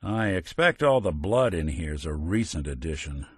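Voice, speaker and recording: An actor voicing a weary synth detective in a video game, a gruff middle-aged man with a dry, wisecracking manner. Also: low voice, sing-song, moderate pace, no room sound, heavily acted. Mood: wry. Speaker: companion character. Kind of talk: game character barks.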